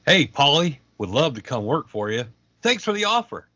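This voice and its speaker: Man doing petulant referee, wildly swooping pitch. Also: sing-song, normal speed, pushed and bright, no echo, lo-fi sound, heavily acted. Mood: aggrieved. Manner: indignant, melodramatic. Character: Dennis, petulant, self-important wrestling referee. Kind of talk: comedic audio play acting.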